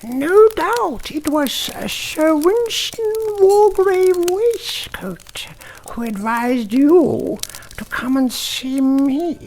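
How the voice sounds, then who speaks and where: A wise old female witch cat, acted out by a man for a children's storybook, speaking in a very high, thin falsetto. The delivery is slow, mysterious and knowing, over noticeable noise. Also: animated, darkish tone, full character voice, poor audio.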